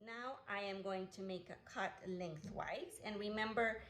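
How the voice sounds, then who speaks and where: A woman's voice: medium pitch, unhurried, a bit bright. A young woman from Guatemala hosting a kid-friendly cooking class, cheerful and upbeat, talking straight to the viewers. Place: kitchen. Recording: roomy kitchen, faint ambience.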